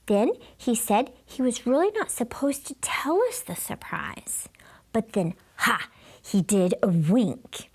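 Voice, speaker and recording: Woman storybook reader: high, lively pitch, theatrical delivery, slow pace for young listeners. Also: breathy edge. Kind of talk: animated children's book read-aloud in a child narrator's words.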